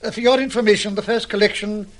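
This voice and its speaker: A man's voice: high voice, fairly even intonation, fast, a bit bright. A man playing a pompous, self-assured doctor detective in an old-fashioned radio mystery, speaking in precise, formal, pedantic phrases. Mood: measured, probing.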